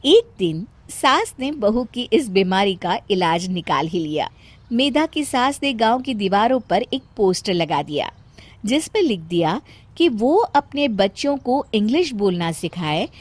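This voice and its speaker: A woman's voice: medium pitch, no room sound. A woman narrating a scripted story as a storyteller.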